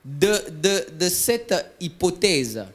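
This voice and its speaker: A man's voice: high-pitched, big pitch swings, takes it slowly. A man leading a church discussion on mentoring, asking questions and adding examples in a hesitant way with many fillers. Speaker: interviewer in a church talk.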